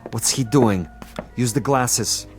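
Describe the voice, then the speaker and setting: An actor playing a cunning, theatrical commissar in a radio drama, suave, precise and condescending, with an imperious air. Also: male voice, mid-range voice, takes it slowly, loud music behind the voice, close to an everyday voice. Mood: agitated, furious. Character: cunning, theatrical commissar, a patient, gloating assassin.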